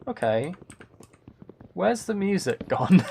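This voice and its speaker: A man's voice: high voice, very animated, takes it slowly, vocal fry, darkish tone, a little hiss or hum. A man chatting casually on a live game stream, musing and thinking aloud as he plays. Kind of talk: casual live stream chat while playing.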